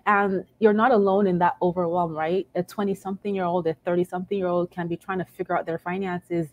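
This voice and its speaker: A woman's voice: on the low side, level tone, fast, a little mellow. A woman in her thirties giving encouraging personal finance advice over a video call from home, often adding "right?". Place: home room, indoors. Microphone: video call webcam.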